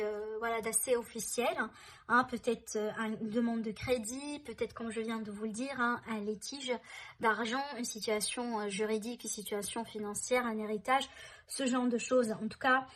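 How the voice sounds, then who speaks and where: A woman's voice: a little high, a bit bright. Woman giving a chatty tarot reading. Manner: reassuring, many fillers like hein and d'accord. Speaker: tarot reader. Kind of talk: tarot card reading for viewers.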